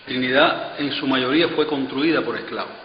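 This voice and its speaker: A man's voice: mid-range voice, normal speed. A man speaking as an expert interviewee in a documentary, explaining in a formal, academic way. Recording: muffled, noisy playback in echoey hall.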